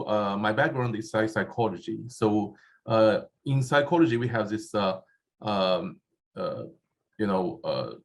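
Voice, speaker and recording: A man whose first language is not English chairs a seminar and introduces the speaker, halting often with fillers and restarts. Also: on the low side. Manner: halting, many fillers and restarts, laughs at himself. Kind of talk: hesitant spoken introduction. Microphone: handheld or desk microphone.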